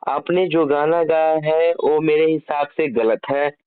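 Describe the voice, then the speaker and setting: A man's voice: on the high side, steady tone, a little slow, clear, resonant tone. A man, a journalist interviewing by phone, challenging and scolding, often repeating his questions. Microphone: telephone. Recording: tinny radio-like phone-call recording.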